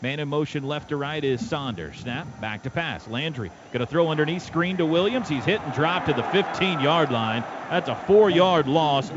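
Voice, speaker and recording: Man, American accent, higher-pitched voice, live football play-by-play, clipped short calls, dull noisy stadium broadcast. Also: expressive, normal speed, few pauses. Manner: clipped present-tense calls in short bursts. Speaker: play-by-play announcer. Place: stadium radio booth. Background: clear outdoor stadium background noise.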